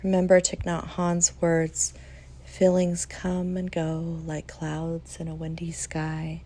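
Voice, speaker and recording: Female meditation guide: mellow, relaxed, slightly low voice, gentle and soothing delivery with unhurried pauses. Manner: soft, soothing, with long pauses.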